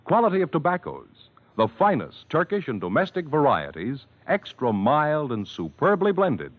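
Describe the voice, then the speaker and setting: A man's voice: fairly high pitch, wildly swooping pitch, normal speed. An American man announcing a 1950s radio cigarette advertisement in an emphatic, polished sales delivery. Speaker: commercial announcer. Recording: muffled vintage radio recording.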